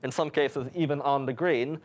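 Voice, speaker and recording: Deadpan young man, mock-grand. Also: mid-range voice, moderate pace. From New York. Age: young adult. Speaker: student guest. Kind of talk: tongue-in-cheek interview answers. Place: campus TV studio.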